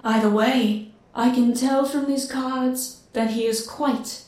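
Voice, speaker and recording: A mystical tarot reader in an audio drama, her unhurried, slightly high voice drawing out each word. She sounds set back from the mic, in a roomy space. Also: steady tone, far from the mic, heavy background noise. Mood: knowing. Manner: slow, deliberate, mystical. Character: mysterious female tarot reader, knowing and languid.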